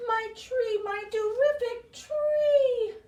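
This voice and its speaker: A woman voicing an excitable male library cat from a children's picture book, exclaiming with gasps and drawn-out words. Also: very high voice, dull audio, voice pushed up, heavily acted. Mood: overjoyed. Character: excitable male library cat, overjoyed about his tree. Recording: roomy, distant mic, faint noise.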